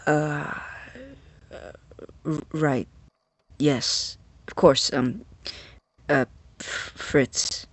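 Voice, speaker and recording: A woman playing a nervous, awkward young scientist in a naturalistic audio drama, husky and halting, easily flustered. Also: mid-range voice, fairly even intonation, no room sound. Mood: awkward, evasive. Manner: halting, stammering, indignant when challenged.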